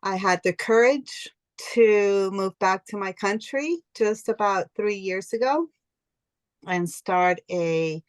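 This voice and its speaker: A middle-aged woman from Colombia, raised in the United States, introducing herself on a video-call panel as a yoga and meditation teacher, unhurried and halting, with ums. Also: lowish voice, dry recording. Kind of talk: casual personal introduction on a panel.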